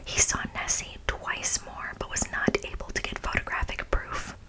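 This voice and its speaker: A woman speaks entirely in a breathy whisper, in the style of an ASMR video. Her delivery is informal and conversational. She stresses her points and often repeats phrases for emphasis. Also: moderate pace. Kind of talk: whispered ASMR, part reading, part casual commentary.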